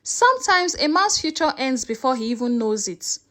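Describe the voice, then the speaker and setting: A woman's voice: high voice, big pitch swings, normal speed, fairly bright tone. A woman storyteller playing a scoffing male cousin who makes veiled threats in a sneering manner. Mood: sneering menace.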